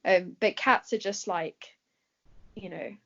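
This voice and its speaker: English woman, chatty, filler-heavy, mid-pitched, dull lo-fi recording. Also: steady tone, takes it slowly, creaky, pushed and bright. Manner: chatty, laughs often, many fillers. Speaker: guest answering questions. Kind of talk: casual chat.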